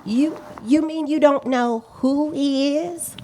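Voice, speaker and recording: A woman's voice: sits high, slow pace, mellow, slight background noise. A woman playing a feisty, talkative and opinionated witness in a radio police drama, speaking in emphatic, clipped sentences. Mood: surprised, knowing. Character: feisty, talkative female check-forgery witness, indignant and opinionated. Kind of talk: live radio play acting, police drama.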